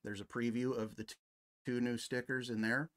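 A middle-aged man talks as a livestream host. His voice sits at an ordinary pitch, and his delivery is casual and conversational, like chat with regular viewers. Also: level tone, normal speed, fairly bright tone. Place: indoor room with decorated wall.

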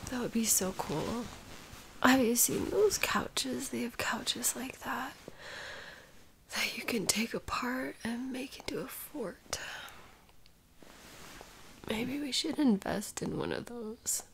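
A woman playing a sick, sleepy, sweet and whiny girlfriend in an intimate ASMR roleplay, breathy and often dropping into a whisper, with drowsy sighs. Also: fairly high pitch, animated, fairly bright tone, a little hiss or hum, full character voice, rough recording. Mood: drowsy, wistful. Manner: drowsy, drawn-out, sighing, intimate.

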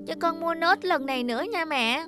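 A woman's voice: extremely high pitch, normal speed. A woman voicing an eager little boy in a cartoon, wheedling and pleading. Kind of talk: cartoon dubbing. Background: loud music.

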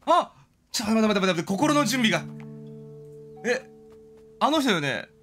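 A man's voice: high-pitched, moderate pace, faint music behind. A man doing casual game commentary as a streamer, exclaiming and talking to himself as he plays. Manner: animated, exclamatory, talks to himself.

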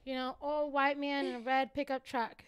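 A young American woman, a podcast guest, telling stories in an animated, emphatic way into a table mic in a small studio. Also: high-pitched, moderate pace. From United States. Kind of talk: casual chat, storytelling. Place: small studio with curtains, indoors. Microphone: podcast mic on a stand at a table.

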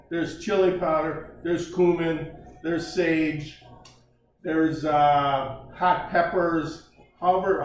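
An older man hosting a casual home cooking show, joking and chatting as he cooks in a large kitchen, recorded by a camera at a distance. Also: on the high side. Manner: folksy, jokey, chatty while cooking. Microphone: camera mic at a distance. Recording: echoey kitchen, voice from off-mic.

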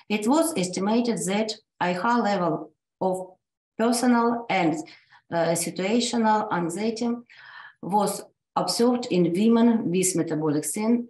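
A woman's voice: mid-range voice, darkish tone, echoey room, poor audio. Hesitant Russian-accented woman presenter. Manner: hesitant, halting delivery with frequent restarts. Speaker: conference speaker, medical professor. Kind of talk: reading an academic presentation.

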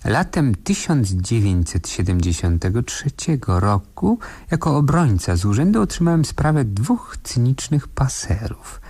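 An actor playing a wry defence lawyer who narrates his strange case in a 1970s radio play, with a husky, expressive, literary delivery. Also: medium pitch, unhurried, hardly any pauses, exaggerated voice. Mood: wry, storytelling.

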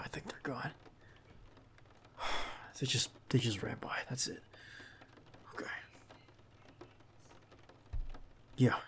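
A man playing a protective, reassuring bodyguard in an intimate ASMR roleplay, speaking in a hushed, halting, gravelly voice close to the listener. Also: mid-range voice, close to an everyday voice. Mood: tense whisper, then relieved, reassuring. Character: protective young male bodyguard, calm and reassuring.

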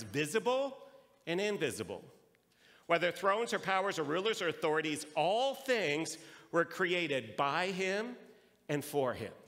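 A man's voice: fairly high pitch, a bit bright. A man preaching a sermon to a congregation as a pastor, explaining and posing rhetorical questions. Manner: explanatory, asks rhetorical questions and answers them. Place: large reverberant room, live preaching. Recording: reverberant hall sound.

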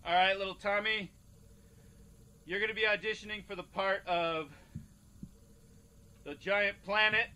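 A man's voice: sits high, fairly even intonation, unhurried, pauses often, pushed and bright, clear, resonant tone. A man playing a casual casting director who stumbles and repeats himself in improvised comedic game acting. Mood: offhand, businesslike. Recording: noisy cassette-tape recording.

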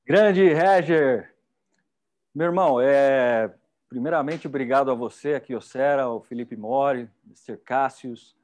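A man's voice: mid-range voice, a little slow, darkish tone. A middle-aged man with a Brazilian Portuguese accent, hosting an event in a chatty, enthusiastic way on a lapel mic. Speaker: event host. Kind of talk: casual hosting chat.

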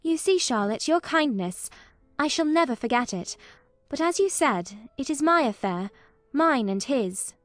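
A woman's voice: high voice, animated, measured pace, dry recording, soft background music, pitched above the performer's own voice. A woman voicing an earnest, guarded and stubborn genteel young girl in an audiobook. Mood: earnest, determined. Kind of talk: audiobook character acting.

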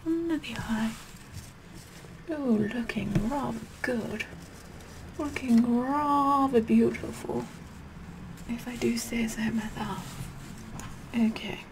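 A haughty, sassy queen acted out by a woman speaks in a breathy ASMR half-whisper. The voice sits fairly high with a creaky edge and moves at a slow, pompous pace. She sounds vain and bossy throughout the roleplay. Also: noisy background. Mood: smug, vain. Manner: pompous, deliberate, repeats words softly. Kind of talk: ASMR roleplay makeup tutorial, whispered and soft-spoken.

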